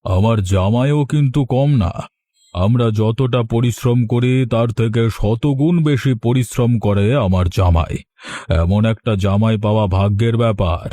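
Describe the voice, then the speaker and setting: An old landlord father from a cartoon tale, acted by a man. His voice is low and dark, recorded close and dry, and he speaks with solemn, weary wisdom. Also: moderate pace, dry recording, lightly acted. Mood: proud. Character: aging landlord father, weary, wise, seeking peace. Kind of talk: Bengali moral cartoon voice acting.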